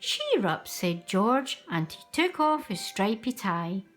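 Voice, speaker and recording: A woman reading a children's picture book aloud in an expressive, sing-song manner. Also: mid-range voice, slow pace, faint music behind. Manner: animated, sing-song delivery.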